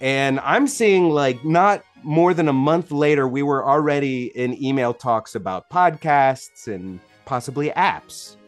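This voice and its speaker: A man speaks as a podcast host in a mid-pitched voice. His delivery is conversational, suited to introducing guests and chatting. Faint music sits behind him. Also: a little slow, few pauses.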